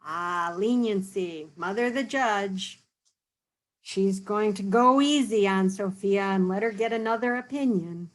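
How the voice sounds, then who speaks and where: Slow, teacherly woman reading aloud. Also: mid-range voice, poor audio. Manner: gentle, questioning, teacherly. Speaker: storytime reader. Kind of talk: children's picture book read-aloud with explanations.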